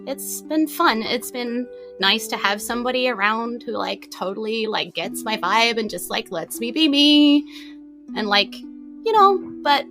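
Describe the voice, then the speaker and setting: A woman's voice: on the high side, lively intonation, unhurried, over music. A woman playing an eccentric, easygoing female shopkeeper in a tabletop game, affectionate and teasing, with casual, filler-heavy speech. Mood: breezy, fond.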